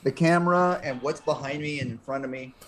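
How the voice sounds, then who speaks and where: A man's voice: on the high side, fairly quick, a little hiss or hum. A man chatting in a casual, joking way as a show contributor.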